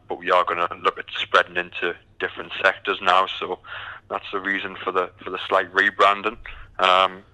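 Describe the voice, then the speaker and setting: A man's voice: low-pitched, sharp tone, rough recording. A British man from the UK, a recruitment firm founder answering interview questions with many ums and restarts.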